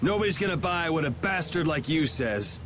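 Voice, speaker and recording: A man plays a defiant young vigilante hero in a video game. His fairly high voice moves freely, irreverent and blunt, with light music underneath. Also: animated, moderate pace, lightly acted. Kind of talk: video game cutscene acting.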